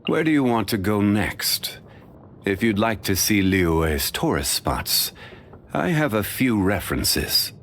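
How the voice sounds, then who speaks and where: An actor voicing a dignified, ancient, learned man in a video game, speaking in a measured, formal and courteous way. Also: on the low side, sing-song, a bit bright, slight background noise, exaggerated voice. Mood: calm, formal.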